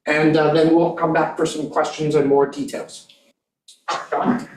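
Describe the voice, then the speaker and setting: A man's voice: a little high, level tone, measured pace, darkish tone. A man, a county planning director, politely addressing a town board with many uhs. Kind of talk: formal presentation at a public meeting. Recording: echoey room from far off.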